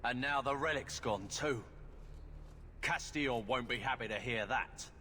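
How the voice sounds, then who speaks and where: Taunting mid-pitched criminal villain. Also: male voice, unhurried, bright, forceful tone. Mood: accusing. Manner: taunting, threatening. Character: menacing, mocking male criminal henchman boss. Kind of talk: video game villain dialogue. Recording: clear ambient noise behind voice.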